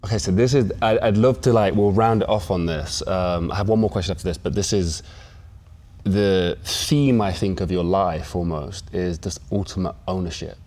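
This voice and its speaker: Low-voiced enthusiastic male interviewer. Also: fairly even intonation, normal speed, darkish tone. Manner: enthusiastic, loosely phrased questions. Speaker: interview host. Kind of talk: conversational interview.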